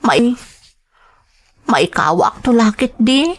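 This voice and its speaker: A woman's voice: a little high, animated, takes it slowly. A woman acting in a radio drama as a tender, clinging young woman, with an Ilocano accent and an emotional manner. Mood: hurt, pleading.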